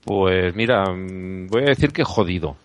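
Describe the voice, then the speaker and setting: A man's voice: low-pitched, lively intonation, measured pace, no room sound. A man with a Spanish accent from Spain, chatting casually as a podcast guest with dry, wry humour. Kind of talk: casual chat.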